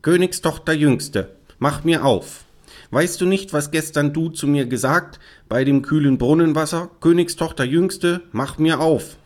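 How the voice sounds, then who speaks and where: A small storybook frog, played by a man, speaks in a raised pitch well above a low everyday register. He is insistent and emphatic, always pressing his bargain like a creature who will not be turned away. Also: normal speed, lightly acted. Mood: demanding. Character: small pleading, insistent male frog from a fairy tale. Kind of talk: fairy-tale storybook character reading.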